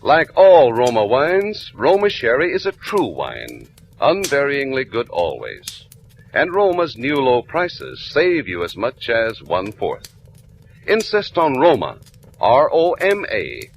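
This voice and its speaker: An American man reads a vintage radio commercial in a polished, measured way. His mid-pitched voice rises and falls in a lively range, over the faint noise of a 1940s recording. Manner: polished, measured sales delivery. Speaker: radio announcer reading sponsor ad.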